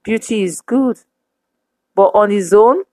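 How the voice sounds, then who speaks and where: A woman preaching a sermon to women, speaking in measured, emphatic repetitions. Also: mid-range voice, dark tone, no room sound.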